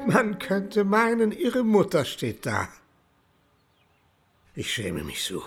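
An aged folk music star weighed down by guilt. He speaks slowly and haltingly, dodging and hesitating, with pitch that swings widely. It is a radio play, with music underneath. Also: wildly swooping pitch, full character voice. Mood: ashamed. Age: older. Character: guilt-ridden old Swiss folk music star, evasive. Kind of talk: radio drama acting. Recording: music behind the voice.

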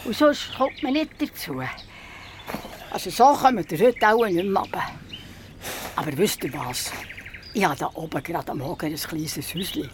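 A woman's voice: fairly low pitch, expressive, moderate pace, long pauses, a little hiss or hum, lightly acted. A woman playing a small, fit old mountain woman with a Swiss accent, mothering, eerily cheerful and fussing with little interjections in a radio play. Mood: concerned, practical. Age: older.

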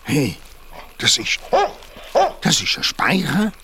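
A man's voice: medium pitch, sing-song, slow pace, crowd noise. A man playing a down-to-earth young boy detective in a children's radio play, casual, with a Swiss German accent. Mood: alarmed.

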